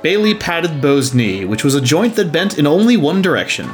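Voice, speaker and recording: Animated male story narrator over faint music. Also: fairly high pitch, normal speed.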